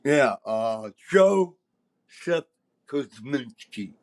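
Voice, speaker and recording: A man's voice: medium pitch, lively intonation, extremely slow. An older man, likely in his seventies, telling casual anecdotes in a rambling, emphatic, salty-tongued way. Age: older, around 70s. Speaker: podcast host telling stories as a former concert promoter.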